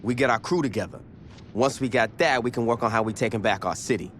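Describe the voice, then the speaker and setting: An actor playing a tough gang boss in a video game cutscene, speaking tersely with dry wit and street slang. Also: male voice, mid-range voice, quick pace, a little hiss or hum, close to an everyday voice. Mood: plotting. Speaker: game protagonist.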